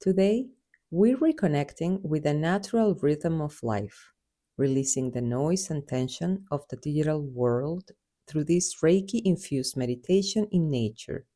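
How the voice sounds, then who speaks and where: A woman leading a guided meditation in a soothing, unhurried manner with measured phrases. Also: deep voice, expressive, mellow. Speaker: guided meditation host and Reiki practitioner.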